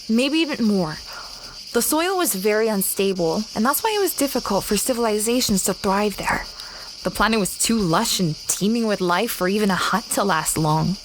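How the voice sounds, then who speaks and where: A gentle, earnest space explorer, acted by a woman for an audio drama. Her voice sits at a middle pitch as she narrates and chats, curious and kind. Jungle insects are plainly audible behind her. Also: noisy background, close to an everyday voice. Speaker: narrator and lead character.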